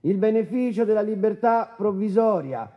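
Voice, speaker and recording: Casting: impassive male judge, high-pitched voice, official declamatory reading for courtroom drama. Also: animated, moderate pace, lo-fi sound. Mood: formal, impassive. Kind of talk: formal courtroom sentence reading.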